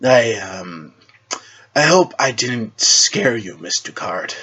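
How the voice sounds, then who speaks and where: A man's voice: fairly low pitch, sing-song, slow pace, sharp tone, husky, full character voice. A man voicing a mysterious masked hero, polite, hesitant and dry, in an amateur superhero story. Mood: awkward, dry. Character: mysterious masked shadow hero, courteous, awkward, male. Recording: dull lo-fi recording.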